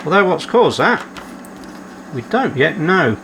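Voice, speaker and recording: Repair-channel host, male, slightly higher pitch, methodical and matter-of-fact. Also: very animated, a little slow, creaky, a bit bright, a little hiss or hum. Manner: methodical, reads out measurements as he works. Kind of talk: calm narrated workshop repair. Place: home workshop.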